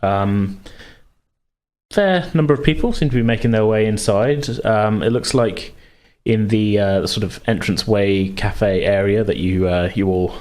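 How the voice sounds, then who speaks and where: A man's voice: fairly low pitch, a little slow, darkish tone. A man acting as game master, casually improvising narration in a loose, conversational, hedging way.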